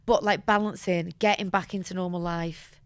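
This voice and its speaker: Low-voiced woman host, dry sound. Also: steady tone, normal speed, dry recording. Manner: warm, affirming, asks gentle open questions. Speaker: podcast host, GP. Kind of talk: interview.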